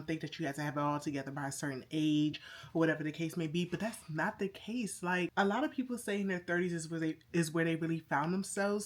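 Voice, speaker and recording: A woman in her thirties speaking candidly and from the heart in a casual confessional vlog, stretching words for emphasis. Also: deep voice. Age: 30s. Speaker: vlogger.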